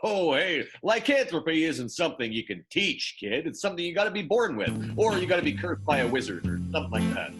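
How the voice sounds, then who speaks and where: A man's voice: fairly high pitch, very animated, a little fast, few pauses, fairly bright tone, breathy edge, faint music behind, heavily acted. A man voicing an offhand, worldly male werewolf in an improvised radio comedy. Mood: offhand, explanatory. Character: gruff, offhand male werewolf who breaks into song.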